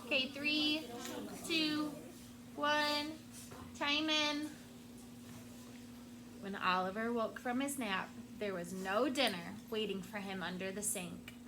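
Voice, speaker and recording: A woman teacher reading a picture book aloud to her class with expressive storytelling, stopping now and then to explain a word. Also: fairly high pitch, takes it slowly, long pauses, background noise.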